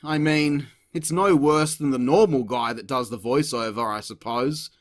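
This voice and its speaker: A man's voice: medium pitch, moderate pace. A man narrating a comedic video review in a sarcastic, exasperated way, with frequent swearing.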